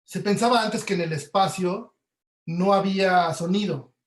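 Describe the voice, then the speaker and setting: A Mexican man giving an informal webinar lecture, explaining politely and a bit haltingly over a video call. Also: high-pitched. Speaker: sound artist giving a talk. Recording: roomy, echoey video call audio.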